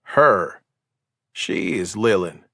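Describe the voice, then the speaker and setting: Guy doing a drugged southern patient, slow gruff drawl, low and dry, audiobook character. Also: lively intonation, sharp tone, dry recording, heavily acted. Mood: slow, haunted. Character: drugged male Southern war veteran patient, haunted.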